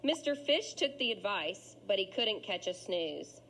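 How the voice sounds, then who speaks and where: A woman reads a children's story aloud as a teacher would, with expressive, rhythmic phrasing. Her pitch sits in the middle for a woman. There is clear noise behind her. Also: a little slow, poor audio. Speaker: school teacher reading aloud to pupils. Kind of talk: children's picture book read-aloud.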